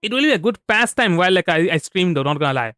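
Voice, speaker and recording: A man with an Indian accent and Bengali as his first language, chatting casually and haltingly as he livestreams a game. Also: high-pitched, big pitch swings, no echo, clean studio sound. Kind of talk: casual chat. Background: soft background music.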